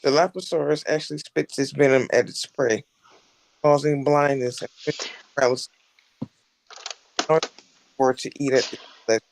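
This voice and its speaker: A man playing a knowledgeable, showman-like park tour narrator in a script table read, with a measured, presentational delivery. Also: mid-range voice, fairly even intonation, vocal fry, natural voice, poor audio. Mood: informative.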